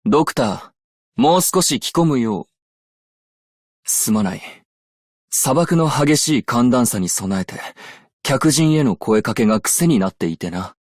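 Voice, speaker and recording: A calm shrewd desert trader from a japanese game, slow n dignified, formal wording, super dry studio sound. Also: male voice, fairly low pitch, no echo. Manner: measured, formal, dignified speech. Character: composed, worldly desert merchant operator, shrewd and dignified. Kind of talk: Japanese game character voice lines.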